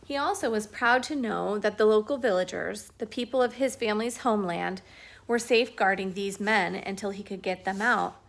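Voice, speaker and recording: A woman reading a history book aloud at home, at an unhurried pace and with small restarts. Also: medium pitch, vocal fry. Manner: firm, scolding talk to a pet between passages. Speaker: reader of a book.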